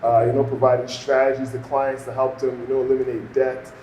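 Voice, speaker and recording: A man's voice: medium pitch, little pitch movement, brisk, warm tone. A man from Toronto with a Canadian accent, giving conversational, explanatory interview answers peppered with "you know". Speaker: guest, entrepreneur and former financial advisor. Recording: echoey room, background noise.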